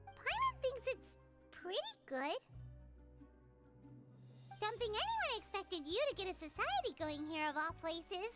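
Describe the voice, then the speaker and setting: A woman's voice: very animated, measured pace, long pauses, loud music behind the voice, heavily acted. A woman voicing a tiny, chirpy female companion in a game who talks about herself in the third person. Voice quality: very high, squeaky.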